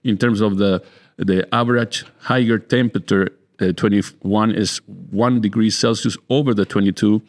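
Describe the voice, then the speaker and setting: A man interviewing casually in a halting way, repeating words as he searches for phrasing. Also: on the low side, steady tone. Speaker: interviewer, winemaker.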